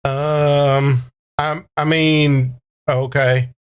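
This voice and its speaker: Male player as flustered, dutiful game character: higher pitch, stammering, eager to please, over-polite. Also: level tone, drawn-out words, clear, resonant tone. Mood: hesitant.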